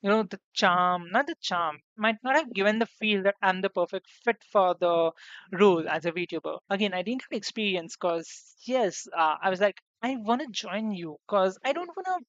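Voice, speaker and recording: A young woman streaming on her debut as a VTuber, chatting casually and rambling with lots of fillers and restarts, her voice slightly hoarse. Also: mid-range voice, animated, moderate pace, no room sound. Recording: dull lo-fi sound, dry room.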